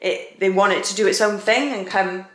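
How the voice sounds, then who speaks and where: Lively young Welsh woman in an echoey room. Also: mid-range voice, moderate pace, crowd noise. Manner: lively, laughing, a bit frantic. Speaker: vlogger, new mother. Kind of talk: casual home vlog chat. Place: home, indoors.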